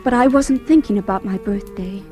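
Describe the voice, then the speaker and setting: An actress playing a fragile, panicked young woman in an old radio horror play, breathless, halting and repeating herself. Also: mid-range voice, lightly acted. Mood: rising panic. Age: young adult. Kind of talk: 1940s radio horror acting, monologue. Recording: old radio drama with music underneath.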